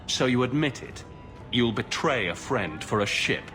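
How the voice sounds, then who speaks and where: An actor playing a steady, dry-witted male adventurer hero in a game, firm and matter-of-fact. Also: mid-range voice, moderate pace, faint music behind. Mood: reproachful.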